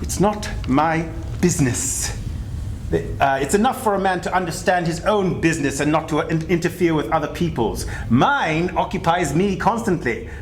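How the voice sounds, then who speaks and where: In an echoey room, a man plays a cold old Victorian miser in a stage reading. The voice is high and swings widely, curt and scornful with every refusal. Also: expressive, normal speed, lightly acted. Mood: cold, dismissive. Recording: echoey room, background noise and rumble.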